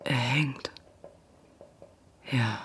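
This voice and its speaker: A woman playing a shattered, stubborn mother in a radio drama, speaking haltingly in a husky voice that often drops near a whisper. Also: a bass voice, fairly even intonation, fairly bright tone, a little hiss or hum, exaggerated voice. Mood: numb, bitter. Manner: halting, short numb sentences. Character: shattered mother told of her daughter's death, stubborn, disbelieving. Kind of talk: literary radio drama acting.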